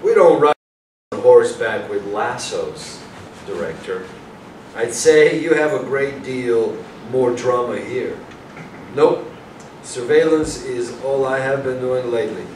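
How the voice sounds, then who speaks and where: A man playing a polite, inquisitive young police detective in a staged play reading, with a measured, probing manner. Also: mid-range voice, a little mellow, close to an everyday voice. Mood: wry. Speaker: stage actor. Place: small indoor venue with audience. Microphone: far camera. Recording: echoey room, distant mic, faint noise.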